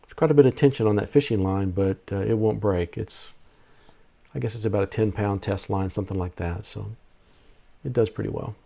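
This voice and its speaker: A man with a somewhat low voice narrates a casual hobby vlog. He explains things methodically in plain, everyday language, as a host talking to viewers. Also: brisk, creaky, mellow. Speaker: amateur radio video host.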